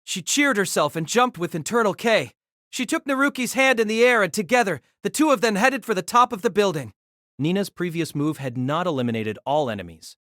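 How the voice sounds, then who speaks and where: Expressive woman narrator with lively pitch. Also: a little fast, no echo, studio quality. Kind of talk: dramatic scripted narration of a novel.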